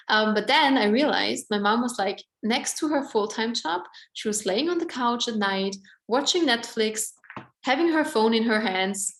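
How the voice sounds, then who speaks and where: Chatty young Austrian woman, echoey room. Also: fairly high pitch. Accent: Austrian German. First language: German. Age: 20s. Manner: chatty, many "like" and "um" fillers. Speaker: presenter. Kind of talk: online presentation.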